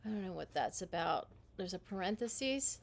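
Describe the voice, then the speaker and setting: A woman talking alone on a livestream from her home, rambling with halting restarts. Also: mid-range voice, expressive, creaky. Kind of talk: casual livestream monologue.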